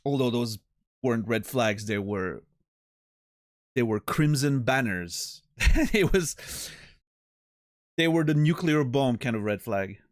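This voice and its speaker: A man's voice: mid-range voice, lively intonation, slow pace, pauses often, no echo, studio quality. A man streaming and chatting casually with his viewers, joking and rambling with many restarts. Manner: rambling, self-interrupting, joking. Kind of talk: casual chat with live chat.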